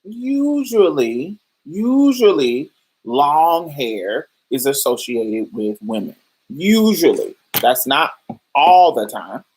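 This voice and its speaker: A woman's voice: low-pitched, big pitch swings, slow, a little mellow, breathy edge, poor audio. A woman hosting a podcast, explaining ideas in a loose, thoughtful way, with many fillers and restarts while talking to listeners. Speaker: podcast host. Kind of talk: casual livestream podcast talk.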